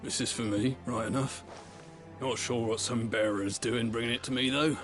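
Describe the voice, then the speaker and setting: An actor voicing a chatty, blunt stable master in a video game, with a wry, folksy manner. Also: male voice, medium pitch, fairly even intonation, moderate pace, lightly acted. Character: gruff, wry male stable master, chatty working man. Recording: game music behind voice.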